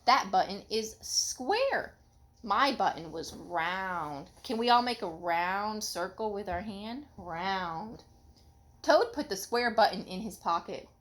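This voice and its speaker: A woman reading a children's story aloud expressively and pausing to ask a child questions in a teacherly way. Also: mid-range voice. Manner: expressive, instructive, acts out the dialogue.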